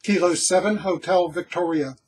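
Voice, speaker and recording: A man in his sixties, an amateur radio operator, giving call signs and brief remarks in a clipped, deliberate way. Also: sits high, loud music behind the voice. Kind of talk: radio call-sign calling and brief commentary. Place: small cluttered home radio room. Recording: loud radio static and clicking noise.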